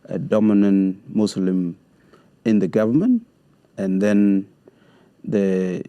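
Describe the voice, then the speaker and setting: A man from Sudan, answering interview questions in a measured, halting way with grammar. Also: lowish voice, lively intonation, clean tone, dry recording, muffled recording. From South Sudan. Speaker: guest, community leader.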